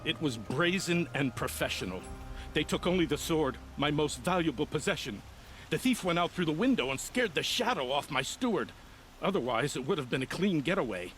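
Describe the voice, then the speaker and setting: An anxious proud noble in a video game, kinda high earnest voice, music behind. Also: male voice, animated, brisk, fairly bright tone. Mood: indignant, boastful. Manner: formal, dramatic, earnest. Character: anxious, proud nobleman pleading over family honor. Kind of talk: video game character acting.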